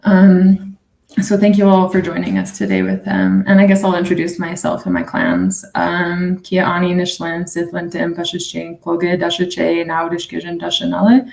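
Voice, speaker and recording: A woman's voice: fairly low pitch, lively intonation, normal speed, creaky, darkish tone, reverberant. A woman attorney from New Mexico moderating a live panel in a friendly way, with frequent ums. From Navajo Nation, New Mexico.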